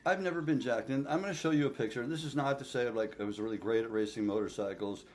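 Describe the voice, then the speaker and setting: A man of sixty talking straight to camera in a loose, profane way. His voice sits at an ordinary middle pitch and jumps up for emphasis, and he keeps checking in with the viewer. It is an unpolished home vlog picked up by the camera's own mic. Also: fast talker. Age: 60s. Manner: blunt, profane, animated, frequent "okay?" check-ins. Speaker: fitness vlogger.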